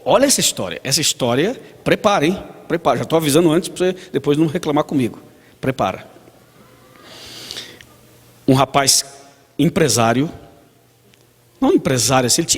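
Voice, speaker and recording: A man's voice: a little high, slightly rough. A man with a Brazilian Portuguese accent, a pastor preaching a sermon, telling stories, acting out dialogue and asking the congregation questions. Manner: storytelling, acts out dialogue, asks the congregation. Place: church hall. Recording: long church reverb.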